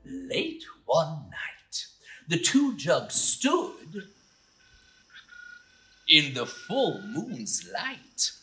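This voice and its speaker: Wildly expressive woman storyteller, unhurried. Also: low voice, soft background music. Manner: theatrical, expressive, drawn-out phrasing with gasps and chuckles. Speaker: children's storyteller. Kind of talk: animated storybook narration for young children.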